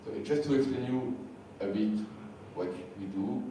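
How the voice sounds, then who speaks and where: A man from France with a French accent, slightly sick and losing his voice, giving a conference talk with halting, repeated words. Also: mid-range voice, dark tone. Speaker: conference speaker. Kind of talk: conference presentation. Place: large hall. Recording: echoey hall from far off, faint hiss.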